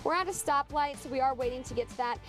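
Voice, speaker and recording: A woman's voice: high-pitched, quick pace. An American woman giving an ad-libbed live traffic and weather report from a vehicle on the road. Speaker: TV meteorologist, live field reporter. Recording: music bed behind live report.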